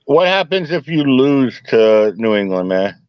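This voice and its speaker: A man's voice: mid-range voice, sing-song, unhurried, smooth, dry recording. Male panelist, mid voice, dry teasing, muffled remote line. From Puerto Rican and Italian heritage.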